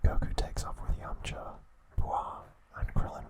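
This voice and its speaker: Man whispering slowly, ASMR style. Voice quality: breathy unvoiced whisper. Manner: slow, hushed, halting short phrases. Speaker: ASMR host. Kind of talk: whispered ASMR episode recap.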